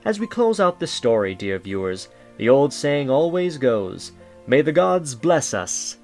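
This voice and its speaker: A man narrating a holiday audio drama as a kindly storyteller, in a measured, grandiose, theatrical way. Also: medium pitch, very animated, a little mellow, soft background music. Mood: warm storytelling.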